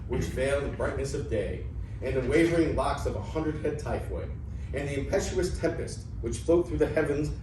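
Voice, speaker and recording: A man's voice: medium pitch, moderate pace, natural voice. Distant, bewildered old pupil played by a man. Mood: awed. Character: bewildered, eager, slow-witted old father turned pupil. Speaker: amateur actor in a staged play reading. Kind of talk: dramatic reading of ancient Greek comedy. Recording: very echoey room, distant mic, noisy.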